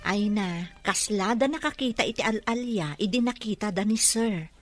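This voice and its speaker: A woman's voice: medium pitch, normal speed, no echo, faint music behind, natural voice. A woman with an Ilocano accent playing a moralizing, gossipy older sister in a radio drama. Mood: gossiping. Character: moralizing big sister gossiping about greedy colleagues.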